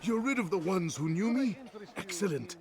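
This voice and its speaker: An actor playing an anxious informant in a video game, speaking in a formal, measured way. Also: male voice, sits high, lively intonation, a little mellow. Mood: relieved, conspiratorial. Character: anxious male informant seeking help, formal and grateful.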